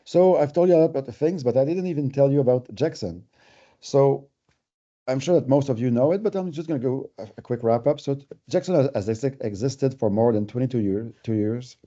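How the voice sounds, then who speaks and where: A man's voice: mid-range voice, brisk, warm tone, lo-fi sound. A man with a French Canadian accent, giving a conversational, explanatory webinar presentation with everyday examples. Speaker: company presenter.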